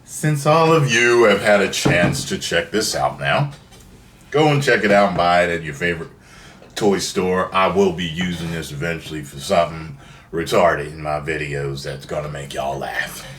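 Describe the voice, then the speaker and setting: A man's voice: low voice, moderate pace, fairly bright tone, far from the mic. A man hosting a casual unboxing video, chatting in a playful, slangy, exclamatory way. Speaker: video host. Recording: roomy, off-mic, faint noise.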